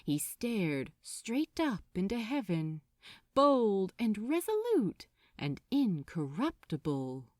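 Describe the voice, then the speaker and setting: A woman reading a story aloud as a dramatic, expressive and deliberate narrator. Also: medium pitch, no room sound, clean studio sound. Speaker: audiobook narrator.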